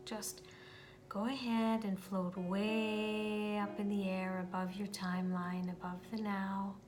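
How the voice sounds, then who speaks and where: A woman leading a guided meditation, speaking soothingly and deliberately, with long pauses as she addresses the listener directly. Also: medium pitch, flat delivery. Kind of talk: guided meditation, spoken instruction. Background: meditation music.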